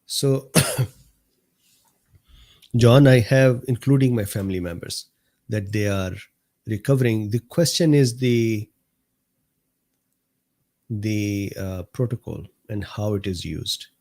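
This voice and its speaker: A man with a mellow, slightly hoarse voice hosts a live stream from his desk mic. He reads viewer comments aloud in an unhurried, easygoing way. He laughs often and answers viewers by name. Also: on the low side. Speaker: host of a live medical talk stream. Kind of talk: casual livestream chat reading viewer comments.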